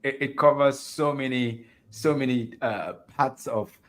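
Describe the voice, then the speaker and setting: A man's voice: mid-range voice, unhurried, fairly bright tone. A man from Africa hosting a virtual fireside chat over a video call, chatty and enthusiastic, laughing easily. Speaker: host.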